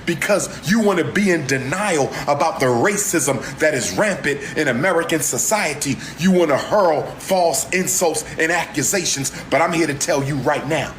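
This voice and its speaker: A man's voice: a little high, lively intonation, moderate pace, few pauses. A man giving an impassioned speech, declaiming in chant-like repetitions. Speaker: activist speaker. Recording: echoey room with faint ambient noise.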